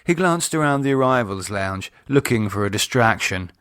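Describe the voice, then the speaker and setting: A man reading scripted novel narration in a measured storytelling manner. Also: medium pitch, animated, fairly bright tone, clear, resonant tone, no room sound, clean studio sound. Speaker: author narrating his own novel.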